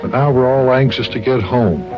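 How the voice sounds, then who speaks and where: A man narrating and hosting an old-time children's radio serial with expressive, deliberate storyteller phrasing. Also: mid-range voice, lo-fi sound, music in the background.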